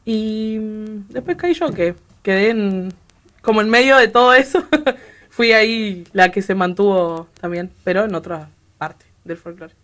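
A woman's voice: medium pitch, a bit bright. Giggly young woman. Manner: laughs often, halting restarts. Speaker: guest dancer. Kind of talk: casual chat.

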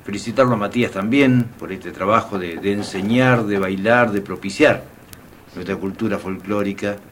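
A low-pitched man with an Argentine Spanish accent hosting a radio interview. He chats in a friendly, teasing way. There is a faint hum of noise behind him. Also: normal speed, fairly bright tone. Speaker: radio host.

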